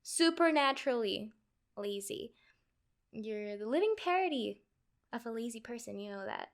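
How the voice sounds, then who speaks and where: A woman playing a nervous, lovestruck and clingy yandere girlfriend in a comedic roleplay, halting and rambling as she talks. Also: fairly high pitch, lively intonation. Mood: earnest, rambling.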